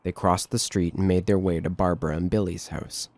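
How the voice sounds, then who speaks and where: A man's voice: deep voice, steady tone, brisk, darkish tone, slight background noise. A man narrating a scripted horror story.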